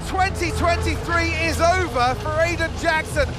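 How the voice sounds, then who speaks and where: Lead race commentator for a game: very high, excited, projected live-sport delivery over music. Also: male voice, normal speed, crowd noise. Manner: dramatic, projected.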